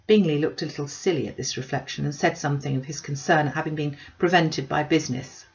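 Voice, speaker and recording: A woman's voice: deep voice, quick pace, vocal fry, dull audio. A woman reading a classic novel aloud as scripted audiobook narration.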